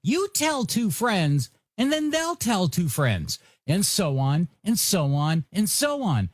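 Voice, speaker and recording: A radio DJ host with a playful, showy delivery, presenting in energetic music-radio patter. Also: medium pitch, slow, dry recording, studio quality. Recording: music bed behind voice.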